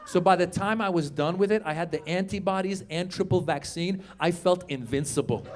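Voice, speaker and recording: A man in his fifties doing a stand-up comedy set on a club stage, joking with the crowd in a loud, animated way and swearing freely. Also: high voice, a little fast. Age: 50s. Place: comedy club stage before a live audience.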